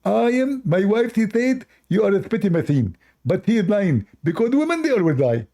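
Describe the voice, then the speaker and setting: A shrill, boastful caller who insists he is right about everything, as a man puts him on. The voice is pushed up well above the performer's own register, yet keeps a gravelly edge and a dark tone. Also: very animated, normal speed, exaggerated voice. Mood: indignant boasting. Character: boastful indignant male debate caller.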